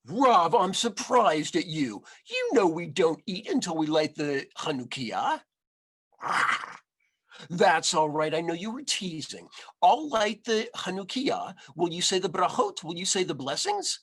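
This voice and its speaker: A man's voice: high-pitched, very animated, unhurried, husky, heavily acted. Kindly, fussy old grandmother, voiced by a man. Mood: gently scolding. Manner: fussy, welcoming, gently scolding. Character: kindly, chatty ninety-seven-year-old village grandmother, hard of hearing.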